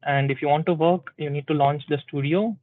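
A man presenting a live software tutorial at a tech community session, explaining step by step with many "uh"s and "okay"s and checking in with "right?". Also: fairly high pitch, steady tone, fairly quick, no room sound. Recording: dry, thin phone-like sound.

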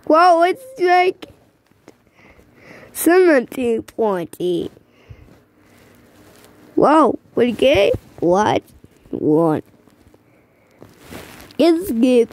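A woman giving excited, exclaiming commentary while playing a mobile bowling game. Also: sits high, drawn-out words, long pauses. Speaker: gamer.

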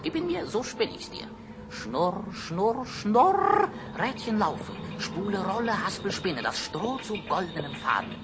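A man playing a sly, gleeful little dwarf with a squeaky, cackling, chanting delivery in an old fairy-tale radio play. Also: very animated, unhurried, over loud music. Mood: excited chanting. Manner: chanting, swooping, teasing. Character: sly, gleeful little male dwarf imp, wicked bargainer. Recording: noisy old record.

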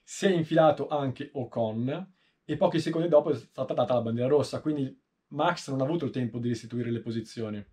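A man in his thirties from Italy giving informal, explanatory race commentary in a measured way, often pausing mid-sentence. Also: medium pitch, warm tone. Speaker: motorsport video host. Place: small indoor room, seated in a sim racing seat.

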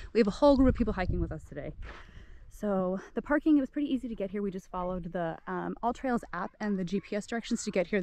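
A woman's voice: mid-range voice, darkish tone. Chatty woman vlogging a hike. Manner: chatty, informal, with fillers. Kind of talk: casual hiking vlog narration. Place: outdoors on dry grassy hills, later inside a van. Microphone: phone camera at arm's length.

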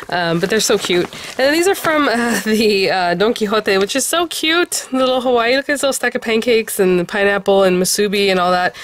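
A woman's voice: on the low side, slight background noise. A woman vlogger from California chatting casually and eagerly while showing off her shopping haul at a craft table. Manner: chatty and enthusiastic, rambling with fillers and laughs. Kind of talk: casual show-and-tell haul chat.

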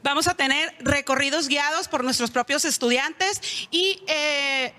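A woman's voice: sits high, unhurried, pushed and bright. A middle-aged woman, answering as a university promoter in a live TV interview. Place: indoor television studio. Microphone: handheld microphone. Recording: reverberant TV studio.

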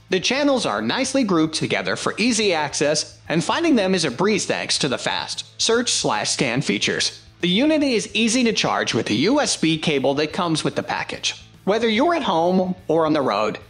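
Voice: medium pitch, a bit bright. A narrator reading a scripted product review in a presentational style.

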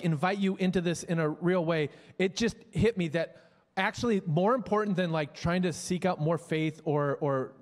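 A man in his forties with a noticeably high voice addresses his congregation from a church stage. He speaks casually into a handheld microphone, often restarting and repeating words. His voice rings out with a long hall reverb. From Minnesota. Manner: conversational, many restarts and stammered repeats. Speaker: pastor. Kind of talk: church announcements and guest introduction. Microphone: handheld mic.

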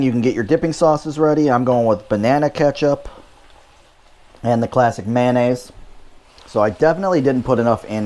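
A man's voice: mid-range voice, a little mellow. Wry man hosting a cooking show. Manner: dry, wry asides while cooking. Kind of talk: casual cooking demonstration.

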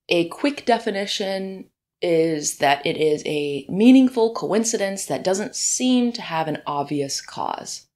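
Hoarse-voiced woman chatting through a podcast. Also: mid-range voice. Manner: chatty, conversational, with asides. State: hoarse and deeper in the morning. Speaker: podcast host. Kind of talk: solo podcast monologue. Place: home room, birds outside.

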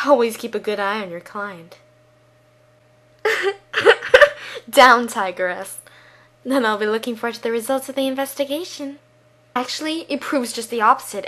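A haughty, experienced woman of the law from a video game, as a woman acts her. She speaks coolly and condescendingly in a sharp, bright voice. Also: fairly high pitch, voice pushed down. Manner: condescending, cool.

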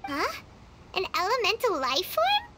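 A woman voicing a small, excitable, childlike fairy companion with a squeaky voice in a video game. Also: unhurried, heavy background noise, heavily acted. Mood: curious. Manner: exclamatory, naive, easily offended, speaks of self in third person.

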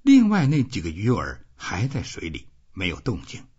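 A man's voice: on the low side, huge pitch swings, moderate pace, dark tone, breathy edge, muffled recording. A man reading scripted literary narration as an audiobook narrator.

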